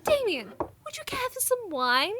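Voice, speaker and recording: An actress voicing a doting, excitable middle-aged duchess who gushes over her son's engagement in a fantasy audio drama. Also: very high voice, a little slow, noisy background, exaggerated voice. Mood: fond. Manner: gushing, fluttery and theatrical. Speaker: Lady Florence, Damien's mother.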